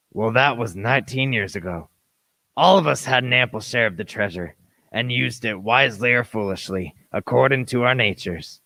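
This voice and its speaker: A man's voice: medium pitch, vocal fry, sharp tone, no echo, full character voice, poor audio. A man playing an earnest adventurer who narrates his tale in a radio play with dramatic storytelling. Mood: earnest, recounting. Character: earnest grown Jim Hawkins recalling his boyhood adventure.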